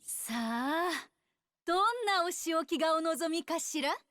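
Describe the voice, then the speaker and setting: A woman's voice: very high-pitched, fast talker, fairly bright tone, dry recording, studio quality. A woman voicing a teasing, domineering, haughty anime woman in Japanese game voice lines. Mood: seductive, menacing.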